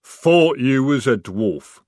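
A man voicing a huge, slow-witted male troll who rumbles and growls his words. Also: animated, darkish tone, no echo, clean studio sound. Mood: dull.

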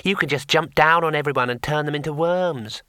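A male narrator voicing a small, gentle imp that speaks slowly and reasonably, in a higher, altered voice. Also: animated, no room sound, full character voice. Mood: patient. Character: small, matter-of-fact picture imp, gentle and patient.

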